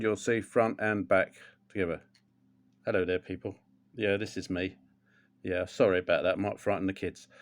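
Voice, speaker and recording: An older man, chatting casually through a how-to demonstration at home, rambling with plenty of ums and restarts. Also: lowish voice. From England. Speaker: motorcycle vlogger.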